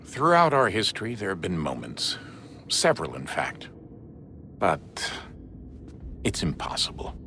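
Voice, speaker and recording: A gravelly middle-aged father. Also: low voice, huge pitch swings, pushed and bright, slight background noise, exaggerated voice. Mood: firm. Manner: halting, apologetic, sometimes whispered. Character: Desmond's gruff middle-aged assassin father. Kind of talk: video game cutscene acting. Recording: game score behind voice.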